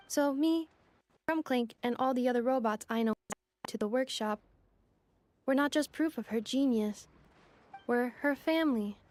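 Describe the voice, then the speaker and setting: Polite, high-voiced robot assistant played by a woman. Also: a little mellow, natural voice. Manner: measured, formal, explanatory. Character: polite, composed female robot assistant, loyal and earnest. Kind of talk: anime-style video game voice acting. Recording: faint music behind the voice.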